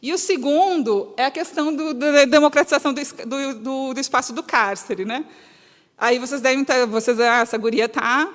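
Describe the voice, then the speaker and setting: A woman's voice: high-pitched, normal speed. A woman with a gaúcho southern Brazilian accent giving an earnest, emphatic semi-spontaneous public talk, often breaking off and restating her phrases. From Rio Grande do Sul, Brazil. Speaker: guest speaker. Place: reverberant hall, live talk to an audience. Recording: echoey hall with long reverb.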